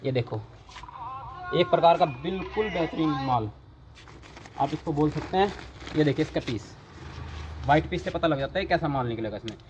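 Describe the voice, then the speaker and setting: A young man hosting a vlog, walking through a large warehouse and pitching clothing stock with eager salesman patter, filmed on a handheld phone. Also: lively intonation, moderate pace, pauses often, a little mellow, a little hiss or hum. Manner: eager salesman patter, repeatedly urging viewers to look. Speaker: vlogger showing wholesale clothing stock. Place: large indoor warehouse full of clothing bales.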